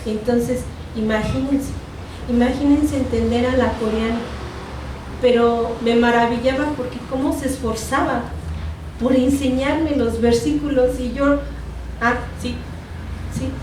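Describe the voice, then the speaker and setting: A woman's voice: a little high, steady tone, a little slow. A woman from Mexico with a Mexican Spanish accent teaching a Bible class in an earnest tone, repeating phrases and correcting herself. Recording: echoey room, distant mic, noisy.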